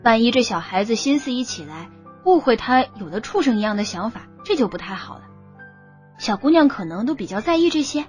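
A woman's voice: a little high, expressive, brisk, soft background music. A woman narrating a romance novel as a scripted audiobook.

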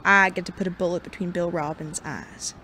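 A woman's voice: fairly low pitch, steady tone, a little fast, sharp tone, a little hiss or hum. A woman playing a proud, stubborn and terse sheriff in a western audio drama. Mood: grim resolve. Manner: terse, irritable. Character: proud, stubborn, gruff Wild West sheriff.

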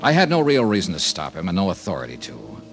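An actor playing a brisk, dry, hard-boiled American insurance investigator, with clipped, dramatic delivery in 1950s radio detective style. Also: male voice, deep voice, wildly swooping pitch, gravelly, over music, heavily acted.

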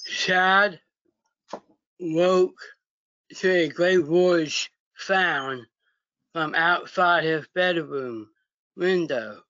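A man reading a children's story aloud haltingly, in short phrases with pauses and stumbles, over a video call. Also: fairly high pitch, pushed and bright, dry recording, lo-fi sound. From United States. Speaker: story reader.

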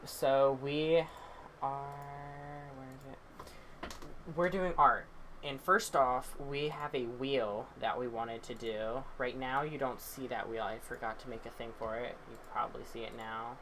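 A man's voice: medium pitch, fairly even intonation, unhurried, fairly bright tone, a little hiss or hum. A young man co-hosting a casual livestreamed podcast, rambling and apologizing, chewing snacks as he talks. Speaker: podcast co-host.

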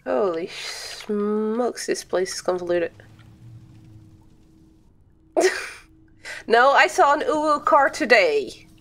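A woman's voice: a little high, expressive, takes it slowly, long pauses, a bit bright. A young adult woman livestreaming a game, chatting casually and playfully with viewers and exclaiming at the screen. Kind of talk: casual gaming stream chat.

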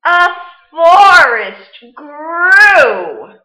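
A woman in her thirties hosting a children's storytime, with an expressive, sing-song and deliberate teaching delivery, recorded indoors on a webcam mic. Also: extremely high pitch. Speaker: children's librarian storytime host. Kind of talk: children's storytime read-aloud. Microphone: webcam or laptop mic at arm's length. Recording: roomy, slightly dull webcam sound.

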